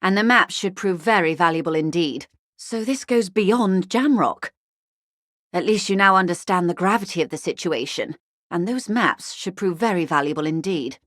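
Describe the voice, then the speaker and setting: An actress playing a wealthy corporate negotiator in a video game, refined and polished, speaking with urbane, wryly courteous, measured irony. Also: female voice, lowish voice, lively intonation, a bit bright, dry recording, studio quality. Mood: grave, businesslike. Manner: urbane, measured, dryly ironic. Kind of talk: scripted game dialogue, conversational.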